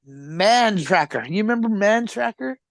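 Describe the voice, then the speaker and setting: A man's voice: sits high, a little slow, vocal fry, dry recording, clean studio sound. A man with an Albertan Canadian accent, co-hosting a podcast in crude, casual chat, swearing freely and laughing often. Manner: profane, laughs often, animated storytelling. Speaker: podcast co-host.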